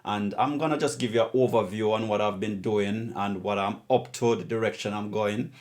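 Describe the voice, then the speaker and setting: A man's voice: lowish voice, fairly even intonation, normal speed, darkish tone. A man hosting a music show, introducing it in a casual, informal and unscripted way.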